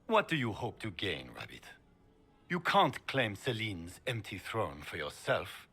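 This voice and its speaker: A haughty, dismissive grand duke with a very deep, husky voice. Bright and lively in pitch, he sneers at his rivals in a game cutscene. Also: a little slow, heavily acted. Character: proud scheming grand duke, imperious.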